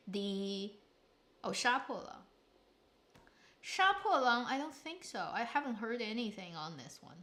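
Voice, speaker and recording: A woman's voice: medium pitch, fairly bright tone. A woman hosting a casual solo chat, giving blunt opinions with lots of restarts and trailing asides. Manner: opinionated, rambling with frequent restarts. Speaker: drama review host.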